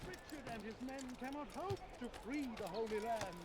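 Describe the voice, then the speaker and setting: An actor playing a fervent, pious street orator exhorting medieval townsfolk in scripted video game dialogue. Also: male voice, extremely high pitch, lively intonation, moderate pace. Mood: fervent exhortation.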